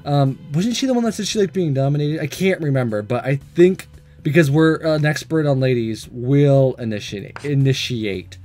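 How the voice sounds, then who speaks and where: A man with a fairly high, very animated voice reads through a visual novel as a let's-play. He is playful and excitable, joking at his own expense, and music plays softly under him. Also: moderate pace. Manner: playful, excitable, self-deprecating. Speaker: gaming video host.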